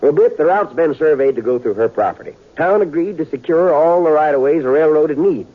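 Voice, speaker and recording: An actor playing a folksy, fretful small-town mayor in an old radio western, talking in blustery, persuasive rustic dialect. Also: male voice, a little high, lively intonation, brisk. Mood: folksy, grumbling. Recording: tinny classic radio recording.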